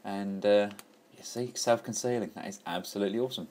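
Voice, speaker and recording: A man's voice: on the low side, brisk, a little mellow, slight background noise. Enthusiastic man reviewing a toy. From England. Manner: enthusiastic, chatty, with frequent fillers and restarts. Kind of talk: casual toy review walkthrough.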